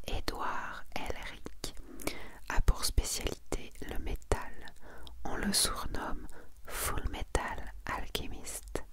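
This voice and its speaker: A woman presenting and reading summaries in a soft, breathy whisper, as an ASMR host, with a gentle, unhurried delivery.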